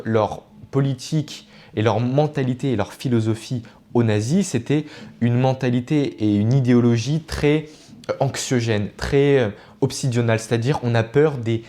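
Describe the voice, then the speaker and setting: A man's voice: medium pitch, normal speed, mellow. A man giving informal explanatory commentary as a video essayist, earnest and didactic, often digressing and correcting himself.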